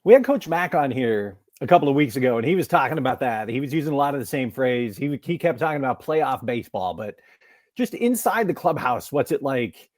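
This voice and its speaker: A man's voice: mid-range voice, sing-song, a little fast, a little mellow, dry recording, studio quality. A man co-hosting a sports podcast and asking conversational follow-up questions. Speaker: podcast co-host. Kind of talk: interview questions.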